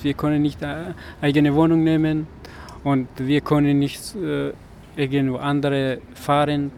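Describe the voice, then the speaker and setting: A man from Afghanistan speaking haltingly at a street protest, often pausing to search for words. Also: a little high, a little mellow, a little hiss or hum. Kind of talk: street interview. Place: outdoors on a city street.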